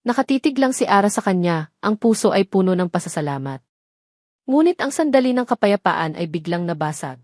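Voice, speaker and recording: A woman's voice: medium pitch, sing-song, brisk, darkish tone, dry recording, studio quality. A woman narrating a scripted dramatic story.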